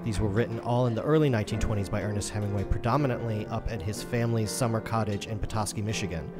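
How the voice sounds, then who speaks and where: A man's voice: fairly low pitch, fast talker, over loud music. A man hosting a podcast, talking conversationally about literature. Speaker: podcast host.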